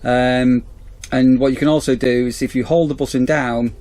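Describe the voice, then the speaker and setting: A man's voice: medium pitch, steady tone, moderate pace, a little mellow. A man in a campsite warden role, chatting casually outdoors as he reviews a torch on an action camera. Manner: chatty, rambling, repeats "as I say". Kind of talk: casual product review vlog.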